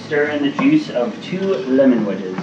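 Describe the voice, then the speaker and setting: A man's voice: medium pitch, big pitch swings, unhurried, noisy background. A man helping his partner cook at home, coaching and teasing her in casual chat. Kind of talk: casual cooking vlog chat. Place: home kitchen, indoors. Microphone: camera across the kitchen, away from the mic. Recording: distant, echoey, dull kitchen audio with music.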